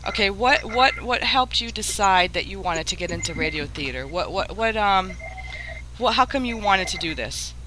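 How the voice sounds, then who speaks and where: A woman's voice: mid-range voice, animated, moderate pace, bright, forceful tone, a little hiss or hum, rough recording. A woman hosting a casual chat with radio performers, thanking them and putting questions to them. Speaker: radio show creator and host.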